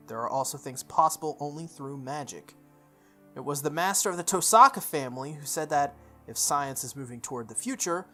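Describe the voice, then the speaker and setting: Visual novel playthrough host, medium pitch, narrating on-screen text with offhand informal commentary, music underneath. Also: a bit bright. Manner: informal, slips into offhand asides. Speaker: video game streamer doing a playthrough. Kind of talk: reading visual novel text aloud with casual side comments.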